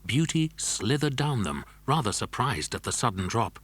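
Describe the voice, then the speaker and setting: A man's voice: mid-range voice, big pitch swings, normal speed, fairly bright tone, breathy edge, no echo. Narrator for children's audiobook: man, mid-range voice, scripted storytelling, dry studio.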